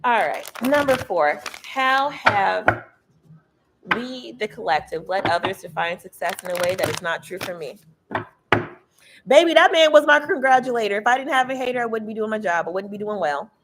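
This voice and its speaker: A woman with a loud, carrying voice giving a casual tarot reading, emphatic and preachy, swearing freely. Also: mid-range voice, animated, moderate pace, very noisy. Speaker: tarot reader.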